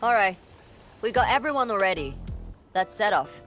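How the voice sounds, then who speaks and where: A woman's voice: medium pitch, animated, moderate pace, fairly bright tone, soft background music, natural voice. An actress voicing a stern, confident female guard officer in a video game, curt and commanding with dry teasing. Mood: brisk, stern. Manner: curt, commanding, dryly mocking.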